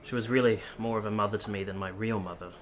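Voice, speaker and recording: A man acting a low-voiced, earnest army captain. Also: steady tone, fast, lightly acted. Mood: wistful. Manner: earnest, formal, sincere. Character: earnest, devoted, principled young army captain, protective lover. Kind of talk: period radio drama acting.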